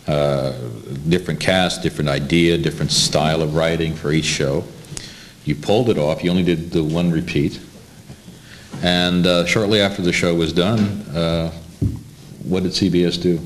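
A man's voice: low voice, fairly even intonation, normal speed. A middle-aged or older man interviewing someone in a conversational way. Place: meeting room, seated at a table. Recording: noisy 1990s camcorder tape.

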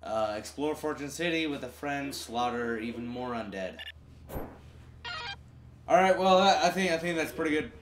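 A man's voice: mid-range voice, lively intonation, moderate pace, pauses often, roomy sound, a little hiss or hum. A man hosting a gaming video with casual co-op commentary, sometimes reading game text aloud.

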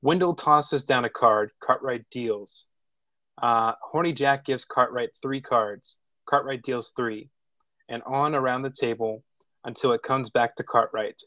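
A man's voice: medium pitch, unhurried, bright, forceful tone, no room sound. A man reading out the action lines of a film script as narrator at a table read.

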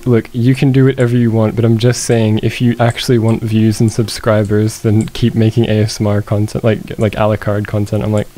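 A man's voice: on the low side, little pitch movement, fairly quick, few pauses, dark tone. A young man giving casual, joking live game commentary with some swearing, at a desk microphone in a room at home. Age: young adult. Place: small indoor room at home. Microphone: desk microphone on boom arm, headset worn.

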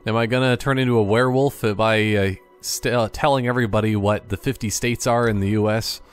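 A man's voice: on the low side, fairly even intonation, fairly quick, smooth, soft background music. A man giving casual, jokey gameplay commentary, thinking aloud and wandering off on tangents. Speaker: gaming YouTuber.

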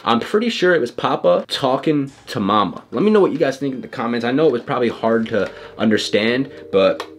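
A man in his twenties talking casually and excitably in slang to a camera in a bedroom. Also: mid-range voice, fairly quick, hardly any pauses, a little hiss or hum. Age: 20s. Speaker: vlogger. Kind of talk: casual reaction vlog. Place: small bedroom with desk.

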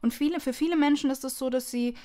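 A woman's voice: high-pitched, quick pace, warm tone. A woman hosting a podcast and interviewing in a conversational style.

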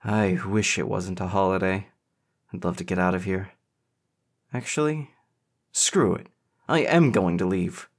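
A man's voice: deep voice, a little slow, long pauses. A man voicing an anxious, sweet and sensitive teenage boy in audiobook dialogue. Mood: fed up.

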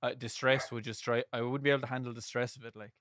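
A man in his thirties with an Irish accent, hosting a podcast from his home music room with a studio mic, talking loudly and energetically and laughing often. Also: medium pitch, little pitch movement, quick pace, no echo. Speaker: podcast host. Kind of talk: casual chat. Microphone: headset and studio mic.

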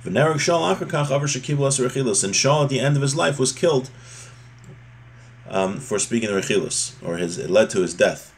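A man's voice: mid-range voice, expressive, fairly quick. A man, around his thirties or forties, giving an informal religious lesson, reading and explaining, often rephrasing his points. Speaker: religious teacher. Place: small home room, indoors.

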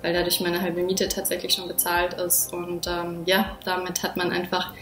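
A woman's voice: lowish voice, monotone, moderate pace, fairly bright tone, soft background music. A woman of thirty-one, a student talking casually to camera about herself. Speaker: student and researcher. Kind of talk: casual self-introduction to camera. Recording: echoey room.

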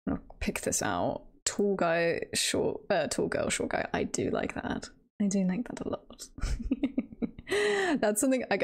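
A woman is chatting casually on a livestream at a leisurely pace. Her medium-pitched voice has plenty of vocal fry. She thinks aloud in a playful, hesitant, giggly manner. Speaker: streamer and voice performer.

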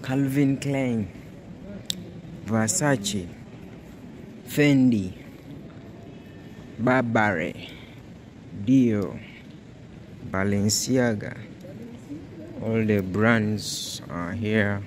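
Male vlogger, low-pitched, very slow delivery, phone-filmed mall tour with steady background noise. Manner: slow, naming brands one by one. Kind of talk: walking tour vlog narration. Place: large indoor shopping mall.

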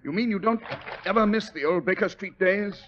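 An actor playing a brisk, teasing gentleman detective with a British accent, crisp, commanding and wry, in 1940s radio style. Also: high voice, natural voice. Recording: dull, noisy old radio recording.

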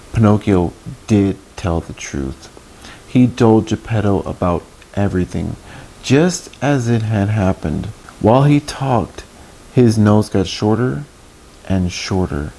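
Man, thirties, children's read-aloud, animated intonation, creaky mellow voice, questions to listeners, noisy recording. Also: lowish voice. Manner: slips in asides and questions to young listeners. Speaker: children's story reader, host. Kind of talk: expressive picture-book read-aloud for children. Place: small indoor room. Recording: noticeable background noise.

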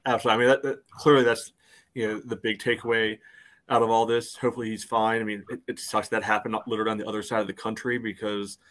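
A man's voice: fairly low pitch, flat delivery, fast, a bit bright. A man co-hosting a casual soccer podcast over a video call, peppering his speech with "you know". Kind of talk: casual sports discussion.

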